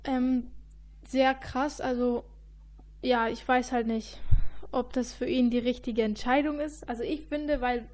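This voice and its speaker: A woman's voice: fairly high pitch, steady tone, unhurried, a little mellow. A woman co-hosting a podcast, giving matter-of-fact opinions in a casual football chat. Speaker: podcast co-host.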